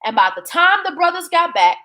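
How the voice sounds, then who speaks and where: A woman reading a children's bedtime story in an expressive, dramatic, folksy way. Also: very high voice, a little fast.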